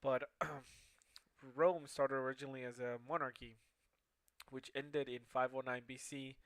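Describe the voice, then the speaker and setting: A young man whose first language is Spanish, giving a class presentation in a halting way with many fillers and restarts. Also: medium pitch, level tone, fairly bright tone, no room sound. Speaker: student presenter.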